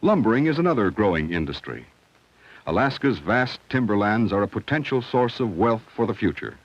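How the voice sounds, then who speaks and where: Low-pitched male narrator, vintage school film. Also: animated, no room sound. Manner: measured, instructional, clearly enunciated. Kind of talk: scripted documentary narration, 1970 school film. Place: studio voice-over. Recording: dull, dry vintage film soundtrack.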